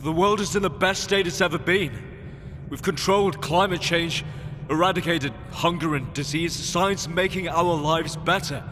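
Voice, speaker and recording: A man's voice: a little high, normal speed, bright, forceful tone. A man playing an ambitious, idealistic space explorer in a sci-fi audio drama, with an earnest, emotional and dramatic delivery. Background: music.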